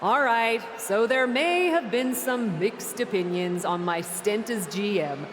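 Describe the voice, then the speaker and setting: A scheming female wrestler, smug and full of sarcasm, her pitch swinging in a lively way as she draws her words out slowly. She sounds like she is in a long, echoing space, with clear noise behind her in the game mix. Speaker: video game character, outgoing general manager. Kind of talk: video game cutscene acting.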